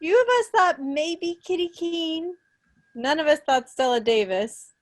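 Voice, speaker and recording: A woman's voice: high voice, lively intonation, measured pace. An American woman in her thirties hosting a quiz over a home video call, casual and playful like a mock schoolteacher. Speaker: meeting host, quizmaster. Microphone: computer mic on a video call.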